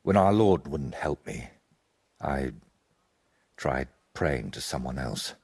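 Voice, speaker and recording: An actor playing a troubled, guilt-ridden older medieval painter in a video game, husky and earnest, as if confessing. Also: male voice, very deep voice, expressive, slow pace, a little mellow, exaggerated voice. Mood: troubled, confessional. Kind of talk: video game dialogue acting.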